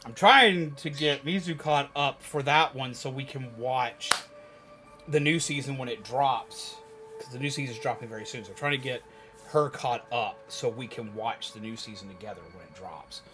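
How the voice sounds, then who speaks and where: A man livestreaming, chatting casually and rambling to viewers in an animated, emphatic, opinionated way. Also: medium pitch, normal speed, over music. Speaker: live streamer. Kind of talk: casual rambling chat with viewers while gaming.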